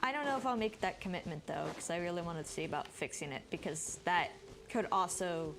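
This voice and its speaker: Girl streaming a game, kinda tired, chatty thinking out loud, game music behind her. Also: on the low side, expressive, a little fast. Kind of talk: casual live gameplay commentary.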